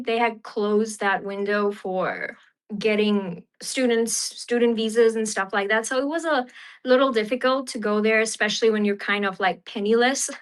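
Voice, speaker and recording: A woman's voice: fairly high pitch, a bit bright. A young woman from Fiji, a podcast guest and economist, talking casually with many fillers. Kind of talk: casual interview.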